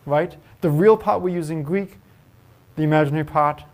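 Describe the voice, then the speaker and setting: A man's voice: a little high, normal speed. A man giving a university math lecture, asking the class questions and joking with students. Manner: lively, asks the class questions and jokes. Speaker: math professor. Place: lecture hall.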